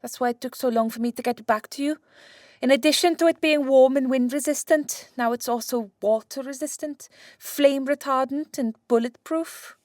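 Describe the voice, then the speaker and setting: A woman's voice: high-pitched, moderate pace, a little mellow, no room sound, studio quality, lightly acted. A woman playing Santa's centuries-old wife, a practical, exasperated but caring inventor, matter-of-fact and bossy in an audiobook.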